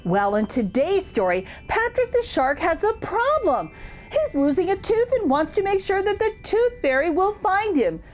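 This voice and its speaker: A woman's voice: very high-pitched, very animated, moderate pace. A woman reading a picture book aloud to children as a storytime host, exclamatory and asking her young listeners questions.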